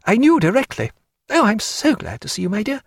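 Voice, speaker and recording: A man's voice: sits high, wildly swooping pitch, fairly quick, vocal fry, no room sound, studio quality, pitched above the performer's own voice, heavily acted. A man voicing an earnest, tender young woman. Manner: soft, pleading.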